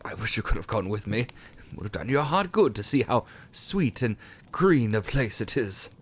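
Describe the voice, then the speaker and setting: An actor playing a gentle, grieving father in an audio drama, speaking tenderly and haltingly. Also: medium pitch, big pitch swings, a little hiss or hum, lightly acted. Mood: sorrowful.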